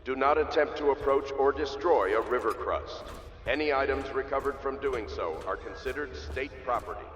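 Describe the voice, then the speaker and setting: A man playing a stern, measured official announcer giving formal public announcements in a dystopian video game. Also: medium pitch, natural voice. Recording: echoing outdoor loudspeaker, long reverb, noisy.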